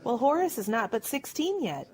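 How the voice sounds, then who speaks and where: Radio play: actress as feisty village wife, higher-pitched, animated intonation. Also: female voice, moderate pace. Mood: resigned. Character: feisty village wife standing in for her husband. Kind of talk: radio drama acting.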